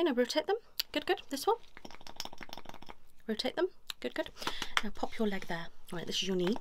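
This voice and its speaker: A woman doing an ASMR role-play as a chiropractor, coaxing a patient in soft talk and whispers, with short repeated reassurances. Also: mid-range voice. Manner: soothing, coaxing, talks directly to a patient. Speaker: ASMR performer playing a chiropractor. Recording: lo-fi, noisy, with clicking sounds.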